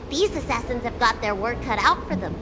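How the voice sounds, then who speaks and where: A very high, swooping little dragon girl acted by a woman, blunt and curious like a child. She is a carefree young elder from a video game, with music playing behind her. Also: lively intonation, moderate pace, lightly acted. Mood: curious, playful. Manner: frank, playful, childlike curiosity.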